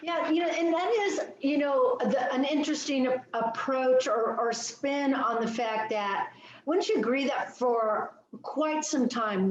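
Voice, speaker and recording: High-voiced woman host in an echoey room. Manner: chatty, laughs easily, asks leading questions. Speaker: podcast co-host. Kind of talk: casual discussion. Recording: echoey, dull-sounding audio.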